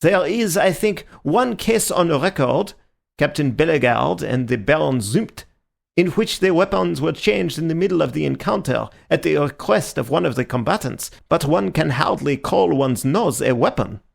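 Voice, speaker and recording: A man's voice: on the high side, expressive, moderate pace, vocal fry, voice pushed up, exaggerated voice. A man voicing a formal, indignant military colonel who splutters when angry. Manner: formal, sputtering.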